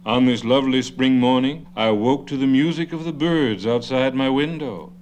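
An actor voicing a grandiloquent older mayor, speaking in a pompous, declamatory style. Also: male voice, medium pitch, normal speed, a little mellow, slight background noise, natural voice. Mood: orating. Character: grandiloquent old mayor, orator.

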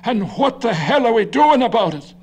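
Brief: male reader as a blustering, red-faced old ward politician, ranting, slightly lower than his narration. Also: very animated, moderate pace, a little mellow, husky, soft background music, heavily acted. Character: combative old city politician, hot-tempered.

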